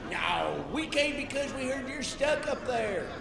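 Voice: high voice, big pitch swings, unhurried, creaky, exaggerated voice. An actor playing a cheeky onlooker in a crowd in a comedy radio play, with a mocking manner. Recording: distant and echoey, with a crowd.

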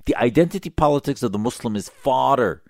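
A man's voice: mid-range voice, expressive, brisk, gravelly, darkish tone, no echo, studio quality. A man giving opinionated talk-show commentary in an emphatic, sarcastic, combative manner. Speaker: political commentator.

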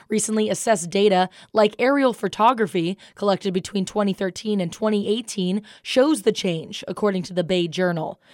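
A woman's voice: mid-range voice, no echo, studio quality. A woman with an American accent reading a scripted radio newscast as the anchor. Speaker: news anchor.

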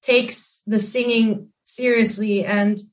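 A woman singer with a Swedish accent and a naturally raspy voice, chatting candidly about looking after her voice. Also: a little high, steady tone, takes it slowly, far from the mic. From Sweden. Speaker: singer, guest. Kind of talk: casual conversation.

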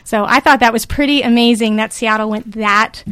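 A woman's voice: a little high, fairly even intonation, normal speed, a bit bright, clear, resonant tone, no room sound. A woman hosting a podcast panel in a studio, talking in a friendly, encouraging way. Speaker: podcast host. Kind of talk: casual panel discussion. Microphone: studio microphone.

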